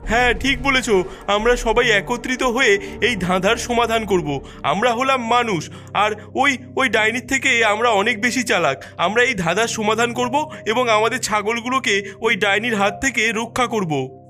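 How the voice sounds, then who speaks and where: A man voicing an excitable, indignant cartoon villager who exclaims and repeats his words. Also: very high voice, moderate pace, faint music behind, voice pushed up. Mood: resolute. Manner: exclamatory, stammering repetitions.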